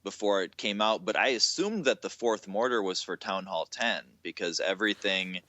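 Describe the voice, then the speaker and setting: A man co-hosting a gaming podcast, joking and telling stories in a casual, animated way. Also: on the low side, normal speed, no room sound. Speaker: podcast co-host. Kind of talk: casual chat.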